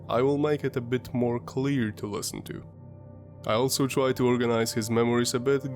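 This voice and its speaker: Brief: male host, stuffy sinus-congested voice, mid-pitched, measured documentary read, faint music underneath. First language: Polish. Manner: measured, explanatory storytelling. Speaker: history channel host. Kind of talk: scripted documentary narration.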